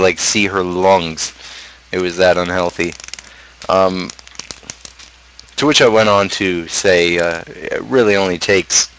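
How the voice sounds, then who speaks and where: Low-voiced young man ranting casually. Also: fairly bright tone, slight background noise, low-quality recording. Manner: opinionated, ranting. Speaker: livestream host. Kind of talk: casual rambling livestream chat.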